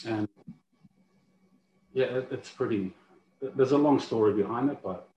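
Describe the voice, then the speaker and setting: A man from Australia with an Australian accent, speaking softly and hesitantly in a casual interview, with many ums. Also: medium pitch, unhurried. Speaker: rugby league player, guest. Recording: roomy, muffled, faint hiss.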